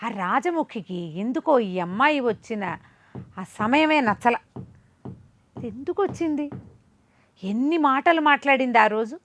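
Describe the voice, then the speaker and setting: A woman tells a folk tale. Her delivery is animated and conversational, as if explaining the story to someone beside her. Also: a little high, a little slow, long pauses. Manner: conversational, explanatory asides, acts out dialogue.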